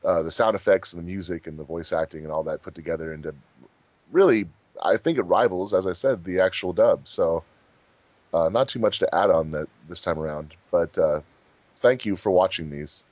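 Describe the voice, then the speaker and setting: Man, low voice, dry close recording, casual host, wry teasing humour. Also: normal speed, darkish tone, no echo. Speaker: host of a fan dub commentary track. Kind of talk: casual group commentary chat.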